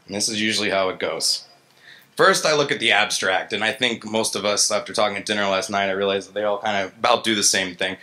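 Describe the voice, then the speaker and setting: A man's voice: fairly low pitch, fast talker, pushed and bright. A man in his thirties giving an informal, colloquial conference talk as an engineer, self-deprecating and acting out his reactions, into a stage microphone. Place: stage in an indoor gallery event space. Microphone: handheld-style vocal mic on a stand.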